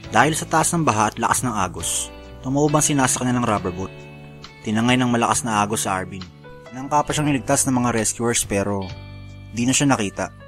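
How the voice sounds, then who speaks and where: A man's voice: medium pitch, a little fast, fairly bright tone, natural voice. An actor playing a sorrowful man in his forties, a jailed former overseas worker narrating his letter in a radio drama. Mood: sorrowful, reflective. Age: 40s. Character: Naldo, 45-year-old imprisoned former overseas worker confessing his sorrows. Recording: music under the voice.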